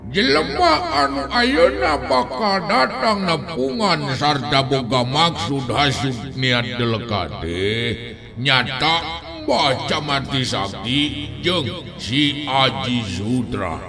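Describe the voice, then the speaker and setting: A man voicing a very slow, solemn guardian spirit. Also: mid-range voice, lively intonation, vocal fry. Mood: calm, reassuring. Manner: stately, drawn-out, solemn. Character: ancient, solemn guardian spirit, protective and calm. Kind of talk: audio storytelling drama. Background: music.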